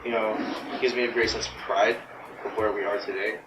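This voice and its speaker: A man's voice: on the low side, level tone, normal speed, over loud music. A man speaking casually in a personal interview, rambling with frequent "right?" and fillers. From United States. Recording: distant mic in noisy echoey room.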